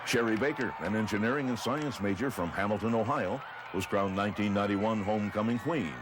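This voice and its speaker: A man's voice: fairly low pitch, brisk, very noisy. An American man reading scripted narration for a newsreel. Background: music bed.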